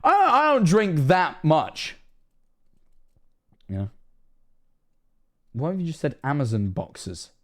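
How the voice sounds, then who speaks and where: A man's voice: a little high, wildly swooping pitch, takes it slowly, long pauses. A Scottish man of 21 streaming casually, rambling and self-mocking, swearing often.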